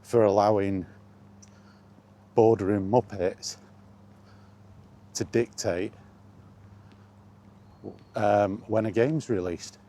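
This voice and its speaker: A man's voice: on the low side, steady tone, extremely slow, long pauses, mellow. A man chatting casually and bluntly in a rambling, resigned way, as a vlogger walking outdoors. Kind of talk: casual walking vlog commentary on video games.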